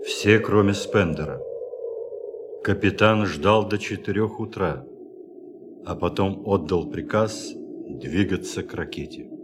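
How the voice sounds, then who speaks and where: A male narrator reads scripted radio drama narration. His voice is very low, and heavy atmospheric noise runs loudly behind it. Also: takes it slowly, long pauses. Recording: loud ambient sound effects behind narration.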